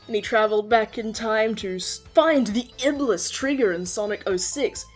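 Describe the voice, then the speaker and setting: A woman with a fairly high voice talks casually and with enthusiasm. She swears freely while hosting and explaining game lore, with faint music behind her. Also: moderate pace. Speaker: host quizzing a partner on game characters. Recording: background music under the voice.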